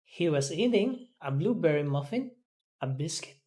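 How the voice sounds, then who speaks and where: An English student carefully reading words and sentences aloud, repeating them deliberately as in a pronunciation drill. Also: medium pitch, expressive, dark tone. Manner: deliberate, repeating after a teacher.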